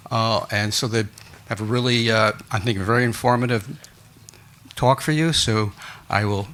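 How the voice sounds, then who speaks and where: A man moderating an event, introducing the next speakers in a hesitant, halting way. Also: on the low side, a bit bright.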